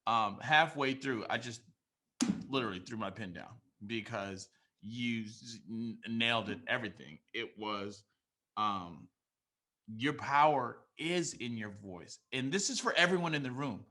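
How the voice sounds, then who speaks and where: Enthusiastic young male emcee, bright tone. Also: medium pitch, a little slow. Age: young adult. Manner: enthusiastic, bursts of shouting and laughing, casual slang. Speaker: event host and teacher. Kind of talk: energetic off-the-cuff remarks.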